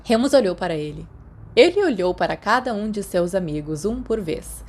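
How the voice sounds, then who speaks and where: A Brazilian woman narrates fiction in scripted audiobook style. Her voice sits at a medium pitch for a woman. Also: big pitch swings, moderate pace, darkish tone. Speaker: podfic narrator.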